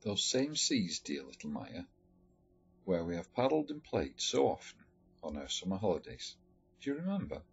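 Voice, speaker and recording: Deep, husky male storyteller. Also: big pitch swings, normal speed. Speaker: storyteller reading aloud. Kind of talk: expressive read-aloud of a letter story. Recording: dull lo-fi recording with music behind. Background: background music.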